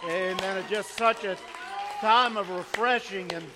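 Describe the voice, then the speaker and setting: A male pastor leading a church service, fervently urging the congregation to praise and chuckling through casual asides, his voice a little hoarse. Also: high voice, a little slow, a crowd in the background, background noise. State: slightly hoarse from worshiping. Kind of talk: church service exhortation and announcements. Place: church sanctuary. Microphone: handheld or stage microphone.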